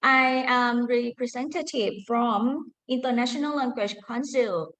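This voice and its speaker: A woman with a Thai accent, a university lecturer giving a careful, halting academic conference talk with frequent restarts. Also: fairly high pitch, a bit bright, rough recording. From Thailand. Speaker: university lecturer presenting research.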